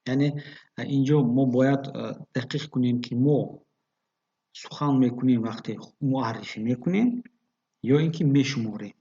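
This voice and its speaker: A man teaching a bilingual grammar lesson, explaining patiently with fillers and reading example phrases slowly. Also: medium pitch, mellow, slightly rough. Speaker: language teacher. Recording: dull lo-fi recording.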